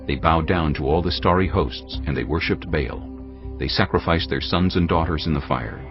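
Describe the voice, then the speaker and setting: A man narrating dramatised scripture from a script in a grave, measured, dramatic manner. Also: extremely low pitch. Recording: dull audio, music underneath.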